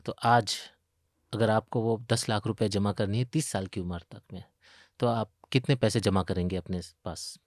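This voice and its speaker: A man's voice: lowish voice, steady tone, normal speed, dry recording, clean studio sound. A man with an Indian accent explaining as an expert in an interview, posing questions and working through examples. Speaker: retirement expert, pension fund investment chief.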